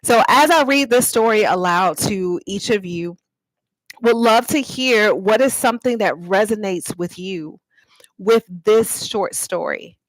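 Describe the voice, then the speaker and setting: A woman's voice: medium pitch, animated, measured pace, no echo, rough recording. A woman talking informally to livestream viewers as an author and host, explaining things and inviting them to respond. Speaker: author and host of a live read-aloud.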